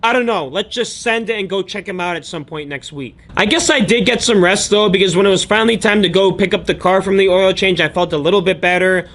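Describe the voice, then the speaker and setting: A man's voice: sits high, a little fast, hardly any pauses, fairly bright tone. A young American man telling stories about his day in a casual, chatty, slangy and emphatic vlog style. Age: young adult. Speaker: daily vlogger, online book seller.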